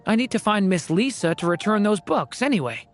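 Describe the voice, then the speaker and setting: Actor doing a soft polite young boy game character, measured delivery, faint music under it. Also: mid-range voice, lively intonation, close to an everyday voice. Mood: calm. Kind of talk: video game voice acting.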